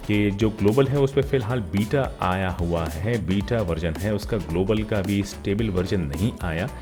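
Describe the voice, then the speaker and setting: A man giving an informal tech walkthrough as a vlogger, mixing English words and phrases into his speech. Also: on the low side. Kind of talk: informal phone update walkthrough narration.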